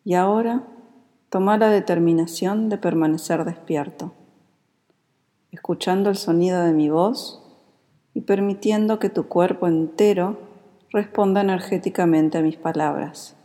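A woman with a Rioplatense Spanish accent guides a meditation in a calm, soothing, unhurried manner. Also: fairly low pitch. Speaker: yoga nidra meditation guide. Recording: long reverberant hall-like echo.

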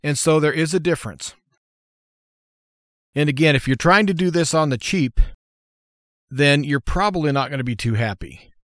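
A man's voice: on the high side, normal speed, long pauses, fairly bright tone, no echo, studio quality. An American man hosting a show, giving informal how-to advice in a plainspoken, folksy, explanatory way. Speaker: radio show host.

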